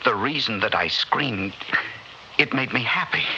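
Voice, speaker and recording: An actor in a 1960s radio drama playing an agitated man, urgently and haltingly reassuring his sweetheart. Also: mid-range voice, expressive, natural voice. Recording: dull, faintly noisy old radio recording.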